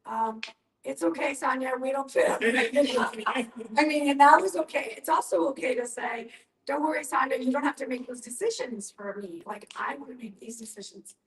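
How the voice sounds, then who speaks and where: A woman's voice: high voice, moderate pace. A woman speaking as a panelist in an earnest group discussion, explaining her points with emphasis. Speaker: panelist at a discussion on end-of-life planning. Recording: roomy echo, distant from the mic.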